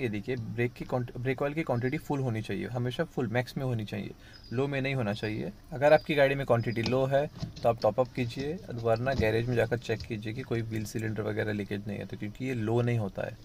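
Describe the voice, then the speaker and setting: A man's voice: mid-range voice, darkish tone, a little hiss or hum. A man, talking viewers through car maintenance checks beside his car outdoors, recorded on a handheld phone. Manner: explanatory, addresses viewers as friends. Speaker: car vlogger. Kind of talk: how-to car maintenance walkthrough.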